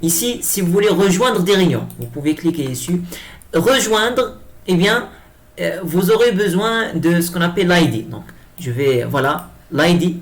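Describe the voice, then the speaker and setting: A man giving an improvised software tutorial, speaking hesitantly and often repeating himself as he explains each step. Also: sits high, moderate pace. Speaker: tutorial presenter. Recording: clear background noise.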